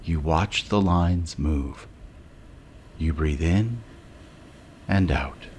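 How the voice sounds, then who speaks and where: Deep-voiced man guiding a slow meditation. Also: darkish tone, a little hiss or hum. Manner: slow, measured, with long pauses. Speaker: guided meditation narrator. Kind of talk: scripted guided meditation.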